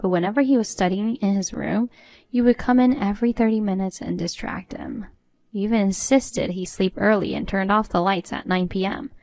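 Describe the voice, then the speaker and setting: A woman's voice: mid-range voice, normal speed, a little mellow, soft background music, close to an everyday voice. A woman playing a defiant, sarcastic adult daughter-in-law in a story, composed and matter-of-fact. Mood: firm.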